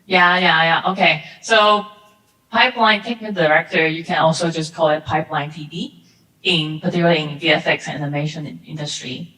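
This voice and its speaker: A woman's voice: deep voice, steady tone, brisk, pushed and bright. A woman answering interview questions as a technical director guest, hesitant and repeating words. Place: theater. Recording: echoey hall.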